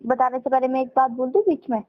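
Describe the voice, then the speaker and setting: A woman's voice: high voice, quick pace. A young woman on a muffled phone call, arguing back with challenging questions. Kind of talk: argumentative private phone conversation.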